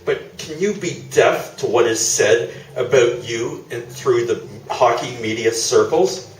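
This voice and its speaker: A man's voice: mid-range voice, measured pace, natural voice. A man playing a courteous, formal radio sports broadcaster in a live stage radio play. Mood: courteous, probing. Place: small theatre, live performance. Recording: distant, echoey, faintly noisy theatre recording.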